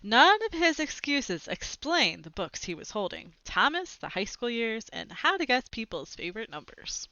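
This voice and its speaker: A young woman reading a children's story aloud into a headset mic in an expressive, clearly phrased manner, with little asides. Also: a little high, normal speed, few pauses, no room sound, dull audio. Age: young adult. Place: small home room with bookshelves, indoors. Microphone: headset microphone.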